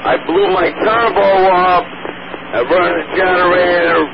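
A man captaining a fishing boat, making a hurried, halting distress call over marine radio. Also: high voice, fairly even intonation, gravelly. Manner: hurried, halting, repeating himself. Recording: muffled marine radio with loud static.

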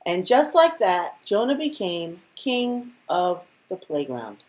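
A woman reading a children's picture book aloud in an expressive storytelling style. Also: lowish voice, slow. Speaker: storybook reader.

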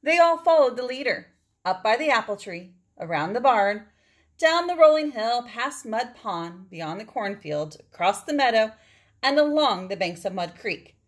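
A woman's voice: on the high side, sing-song, normal speed. A woman in her forties reading a picture book aloud to children, drawing out words for effect and adding asides to her listeners. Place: indoors, small room at home.

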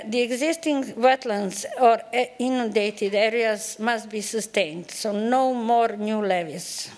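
Slow, deliberate older woman lecturing. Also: medium pitch. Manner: measured, deliberate, with frequent hesitations. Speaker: keynote speaker. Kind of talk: conference presentation. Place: large conference hall, at a lectern. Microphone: lectern microphone.

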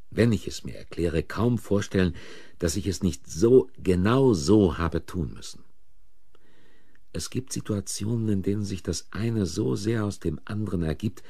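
Deep, very husky, troubled man. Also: a little mellow, no echo, clean studio sound, heavily acted. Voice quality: husky, raspy. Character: agitated male narrator drawn into covering up a killing. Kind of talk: German radio play acting, first-person narration and dialogue.

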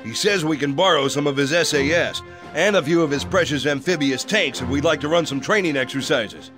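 An actor playing a brash, impatient American general, pleading and cocky in a game cutscene. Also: male voice, on the high side, fairly quick, lightly acted. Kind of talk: video game cutscene acting. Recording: over background music.

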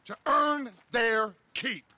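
An actor playing a charismatic middle-aged outlaw gang leader with an oratorical, commanding manner in a video game cutscene. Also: male voice, very high-pitched, slow pace, bright, forceful tone, heavily acted.

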